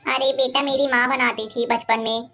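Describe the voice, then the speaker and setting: Cartoon villain mother-in-law, played by a woman: middle-aged, very high voice, bossy, scolding, scheming. Faint music underneath. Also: little pitch movement, normal speed, sharp tone. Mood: curious, chatty. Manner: bossy, scolding, name-calling. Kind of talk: animated moral-story dialogue.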